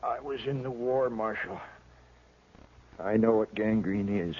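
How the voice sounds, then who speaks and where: A gruff, bitter frontier homesteader, lies wounded and weak, his drawl low and pained. It's an old radio drama with a thin, narrow sound, like a broadcast heard over a telephone. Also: a little slow. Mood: weary. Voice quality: drawling, rough country speech. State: weak, in pain. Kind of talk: 1950s radio acting.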